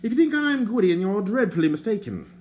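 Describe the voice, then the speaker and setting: A man's voice: high-pitched, big pitch swings, a little fast, darkish tone, slight background noise, voice pushed up. A man voicing a wordy, theatrical male bard in an audiobook, courtly and self-mocking. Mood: alarmed. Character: chatty, flowery wandering male bard.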